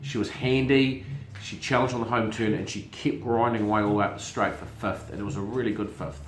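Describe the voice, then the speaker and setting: A middle-aged man with a lowish voice, talking through the week's racing in a loose, chatty way. He often stumbles and repeats words while he hunts for the next one. The room gives his voice a clear echo. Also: steady tone, a little fast, a little hiss or hum. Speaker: racing show host and syndicate manager. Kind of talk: casual family chat show. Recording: roomy echo.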